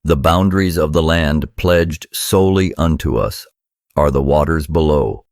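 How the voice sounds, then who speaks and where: An extremely deep-voiced man reads scripture solemnly and formally. He takes his time over each phrase, and the sound is dry and free of echo. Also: measured pace. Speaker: reader of a scriptural book.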